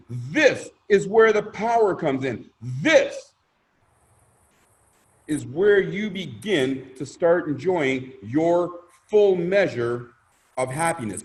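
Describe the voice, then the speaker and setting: A male presenter with a high voice delivers a motivational sales pitch. He is emphatic and theatrical, building his points through repetition and questions put to his listeners. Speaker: presenter pitching a crowdfunding scheme.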